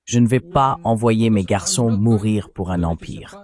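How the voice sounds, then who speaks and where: A man's voice: fairly low pitch, measured pace, darkish tone. A man delivering a translated voice-over of an interview, explaining things as a commentator.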